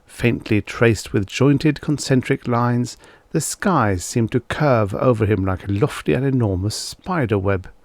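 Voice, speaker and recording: A man reading a story aloud as an audiobook narrator, in a measured, deliberate manner. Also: medium pitch, no echo.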